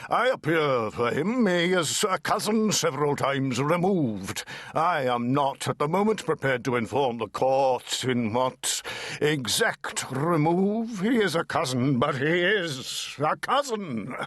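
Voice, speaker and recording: A man's voice: fairly high pitch, big pitch swings, takes it slowly, a bit bright, no echo, studio quality, pitched above the performer's own voice, exaggerated voice. A man voicing a very small lawyer with a huge voice in an audiobook, pompous and emphatic. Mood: self-important. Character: very little lawyer with a terrific booming voice.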